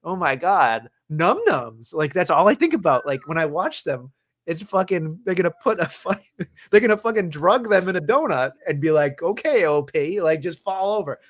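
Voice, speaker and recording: A man's voice: a little high, expressive, normal speed. A man co-hosting a casual wrestling podcast, rambling and profane, often laughing as he talks. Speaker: podcast co-host.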